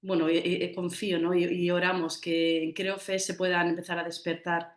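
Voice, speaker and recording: A woman's voice: on the low side, flat delivery, normal speed, roomy sound. A woman from Madrid with a Madrid Spanish accent, a festival organizer answering interview questions earnestly. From Madrid, Spain. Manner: earnest, with frequent "¿no?" tags and restarts.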